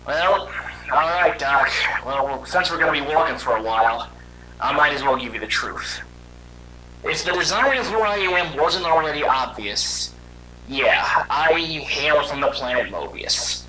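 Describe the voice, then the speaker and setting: A man's voice: fairly high pitch, lively intonation, bright, forceful tone. Man voicing a weary robot hedgehog, noisy recording. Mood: hesitant, candid. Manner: conversational, halting, dramatic storytelling. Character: weary young male robot hedgehog creature recounting his past. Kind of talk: animated fan audio drama dialogue.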